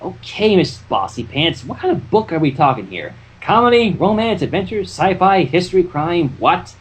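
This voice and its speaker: A fan-fiction reader voicing an unfazed, businesslike female pony who works a bookstore desk and briskly teases customers. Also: mid-range voice, sing-song, breathy edge. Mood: unimpressed, businesslike.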